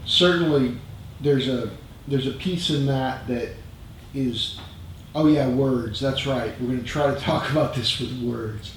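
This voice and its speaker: A man's voice: medium pitch, measured pace. Man, hesitant, informal discussion comment, far from mic, heavy room echo. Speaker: group member commenting. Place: meditation group meeting room. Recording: very echoey room, distant mic, faint noise.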